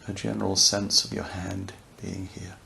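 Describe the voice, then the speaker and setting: A man leading a guided yoga nidra relaxation, speaking softly and soothingly, unhurried, with long pauses. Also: low-pitched, level tone, vocal fry. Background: crackling fireplace ambience.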